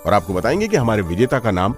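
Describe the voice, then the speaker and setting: Animated male radio host. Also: fairly low pitch, fast, music in the background. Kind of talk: radio magazine presenting.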